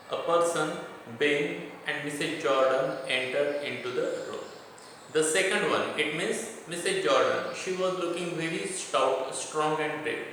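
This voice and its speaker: Echoey, slow-paced Indian man lecturing. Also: a little high, bright, forceful tone. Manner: didactic, repetitive, pausing between short phrases. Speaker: college English literature lecturer. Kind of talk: line-by-line lesson explaining a text and word meanings. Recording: very echoey hall, distant mic, faint noise.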